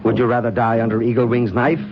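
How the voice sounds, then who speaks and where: An actor playing an earnest, insistent mentor, intense and persuasive, in old-time radio drama style. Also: male voice, medium pitch, little pitch movement, fast talker, over loud music, lightly acted. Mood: probing, stern. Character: earnest, insistent male mentor urging a troubled man. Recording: dull lo-fi old radio recording.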